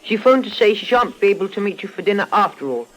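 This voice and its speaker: Polite young bellboy, high voice, quick and formal, old radio show. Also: male voice, lively intonation, a bit bright, rough recording. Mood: polite, brisk. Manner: calling out names, formal and polite. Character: young hotel page boy, brisk and deferential. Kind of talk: 1950s radio drama acting. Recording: old radio drama recording.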